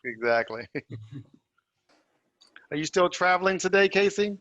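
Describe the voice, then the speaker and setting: A man's voice: high-pitched, lively intonation, unhurried, a bit bright, no room sound. A man chatting casually as an actor and music artist, heard through lo-fi call audio. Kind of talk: casual chat. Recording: dull, dry lo-fi call audio.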